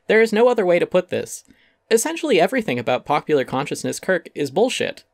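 A man's voice: high-pitched, very animated, quick pace, vocal fry, no room sound. Man reading an essay, dry close sound. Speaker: essay narrator. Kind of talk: dramatic reading of an essay, scripted narration.

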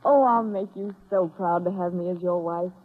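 A woman's voice: fairly low pitch, normal speed, close to an everyday voice. An actress playing an earnest, pleading, stage-struck young woman usher in a 1930s radio drama. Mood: determined, dreamy. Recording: tinny 1930s radio recording.

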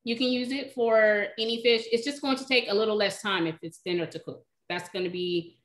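A woman's voice: medium pitch, a little fast. Chatty woman cook on a video call. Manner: relaxed, chatty, explains by eye. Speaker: cooking demonstrator, recipe blogger. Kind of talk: casual live Q&A. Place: home kitchen. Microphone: video call, webcam.

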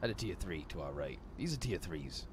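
A man's voice: low-pitched, sing-song, fairly quick. A man streaming a role-play game, chatting casually with profane, teasing banter. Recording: game car and street noise.

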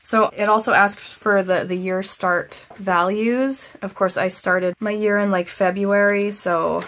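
Chatty woman, slightly hoarse. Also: medium pitch, fairly even intonation, a little slow, fairly bright tone, slight background noise. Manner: chatty, self-deprecating, thinking aloud. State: slightly hoarse after illness. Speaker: planner vlogger. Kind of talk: casual chat, plan with me.

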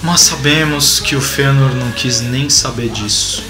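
A man's voice: medium pitch, normal speed. A man in his forties with a Brazilian accent, giving casual, colloquial explanatory commentary as a video host. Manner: animated, colloquial, rhetorical asides. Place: small indoor home room with bookshelves. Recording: roomy echo, music behind.

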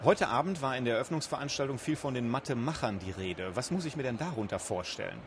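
A man's voice: medium pitch, lively intonation, fast. A man working as an interviewer, introducing guests and putting questions to them in a prepared, formal way. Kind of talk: broadcast-style interview questions. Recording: noisy event ambience with traffic.

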